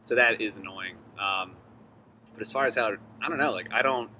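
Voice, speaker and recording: A man's voice: lowish voice, moderate pace, pushed and bright. A young man from Northern Virginia, a street artist, talking casually and candidly in an interview, rambling a little, swearing freely and acting out what other people said. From Northern Virginia, USA. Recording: noisy background ambience.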